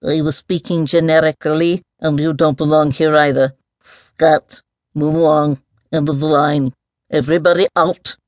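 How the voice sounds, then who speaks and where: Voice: medium pitch, flat delivery, normal speed, darkish tone, dry recording, studio quality, close to an everyday voice. A storyteller of unclear sex voicing a grumpy, fussy, near-sighted giant mole that mumbles and repeats itself in a pompous, liquid mutter. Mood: annoyed, pompous. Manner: repetitive, indignant muttering to itself.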